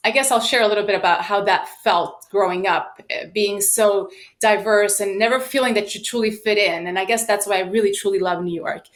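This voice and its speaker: A woman in her late thirties speaks as a podcast guest. Her voice sits at a middle pitch. She tells personal stories in an animated, emphatic manner, acting out bits of dialogue. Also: brisk. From United States and Sweden. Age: late 30s. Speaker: podcast guest, sales professional. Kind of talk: personal storytelling in an interview.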